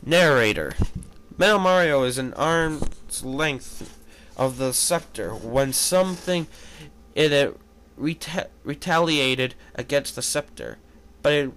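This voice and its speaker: A man reading fan fiction aloud as an amateur, halting and correcting himself, with casual commentary. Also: mid-range voice, animated, a bit bright, slight background noise. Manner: halting, stumbles over words and corrects himself.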